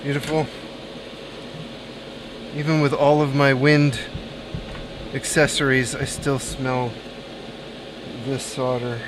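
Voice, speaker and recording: Repair streamer, male, relaxed think-aloud banter; noisy room hum underneath. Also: mid-range voice, slow pace, pauses often. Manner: thinks aloud, chats with viewers between tasks. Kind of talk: casual live-stream chat while soldering.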